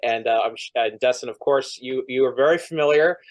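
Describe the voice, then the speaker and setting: A man from Vermont with a middle-pitched voice answers interview questions over a video call. He speaks fluently, with the polish of a political staffer. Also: brisk, rough recording. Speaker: lobbyist and former legislative staffer.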